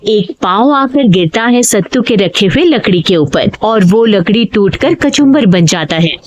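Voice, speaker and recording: A woman narrating a cartoon story in an animated storytelling manner. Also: mid-range voice, measured pace, muffled recording.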